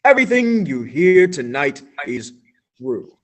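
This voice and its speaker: A young male student actor playing a flustered, solemn radio announcer in a stage radio play, with theatrical pauses. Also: a little high, sing-song.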